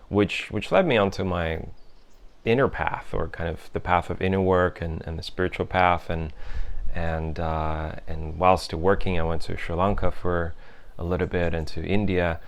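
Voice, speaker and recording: Young guy, low smooth voice, musing about his life slowly, lots of ums and restarts. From Europe, raised in several countries. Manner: thoughtful, unhurried, many fillers and restarts. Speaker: host. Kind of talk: reflective personal monologue.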